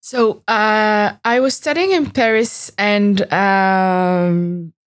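A woman's voice: mid-range voice, very slow. A woman from Tunisia talking casually as a podcast guest. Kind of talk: casual conversation.

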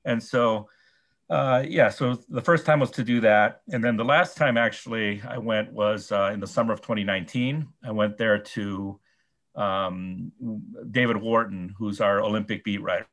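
A man, a sports columnist guest, answering interview questions casually with many pauses, fillers and restarts. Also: lowish voice, steady tone, fairly bright tone.

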